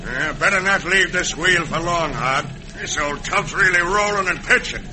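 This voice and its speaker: A man's voice: moderate pace, close to an everyday voice. A man playing a grumbling crooked boat hand with an American accent and old-time slang, in classic radio western style. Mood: worried. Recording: noisy old radio recording with rumble.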